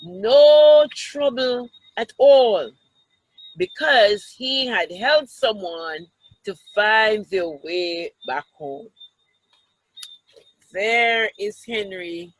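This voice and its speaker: Woman, bright voice, very slow pace, children's storytime reading, deliberate, dry sound. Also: mid-range voice, dry recording, rough recording. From Jamaica. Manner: deliberate, pausing to explain pictures and question children. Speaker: storytime reader, teacher. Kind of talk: reading a picture book aloud to children.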